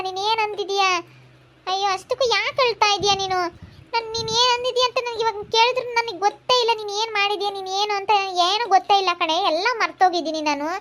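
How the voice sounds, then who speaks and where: A woman acts as a protective elder sister in a family drama. The voice is extremely high-pitched, with a consoling, forgiving manner and insistent, concerned questions. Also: fairly bright tone.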